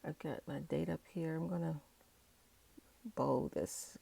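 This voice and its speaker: A woman speaks in a low, gravelly voice, roughened and husky from a cold. She talks in an unhurried, thinking-aloud way, dotted with okays and uhs, as she works through a tabletop planner session. Her tone is dark and mellow. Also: steady tone. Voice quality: raspy, froggy voice. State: hoarse from a cold. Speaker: digital planner video creator. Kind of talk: casual talk-through while decorating a planner. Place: indoors at a tabletop, hands working an overhead-filmed tablet.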